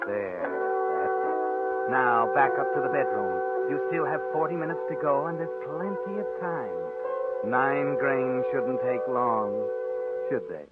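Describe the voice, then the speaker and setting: An actor playing a sinister, sardonic narrator who taunts a schemer in a 1940s radio thriller. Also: male voice, medium pitch. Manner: sardonic, insinuating. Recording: tinny old radio recording with music.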